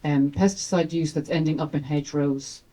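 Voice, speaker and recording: A woman's voice: low-pitched, steady tone, normal speed, mellow, poor audio. A woman from Ireland with an Irish accent, asking a question from the audience in a rambling, conversational way. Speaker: audience member.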